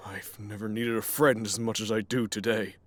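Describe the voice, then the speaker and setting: A man playing a troubled, excitable middle-aged professor in an audio drama, with theatrical delivery. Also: lowish voice, moderate pace, no room sound, lightly acted. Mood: troubled, weary.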